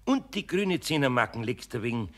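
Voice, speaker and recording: An actor playing a patient, good-natured older Bavarian master craftsman in a children's radio play, with a gravelly, husky voice. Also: mid-range voice, big pitch swings, a little fast, fairly bright tone. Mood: scheming, good-natured.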